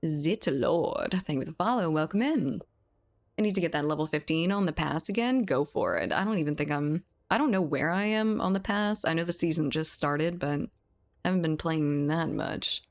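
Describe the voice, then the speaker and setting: A woman's voice: low voice, expressive, normal speed. A woman from South Louisiana streaming a game, chatting casually with her viewers and laughing often. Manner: chatty, laughs often, reads and answers chat. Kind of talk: casual live-stream chat while gaming.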